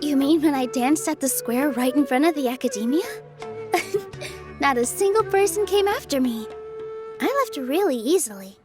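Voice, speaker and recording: A woman playing a gentle, earnest, polite young dancer in a video game. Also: sits high, lively intonation, normal speed, music in the background. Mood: thoughtful, earnest. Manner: polite, soft-spoken.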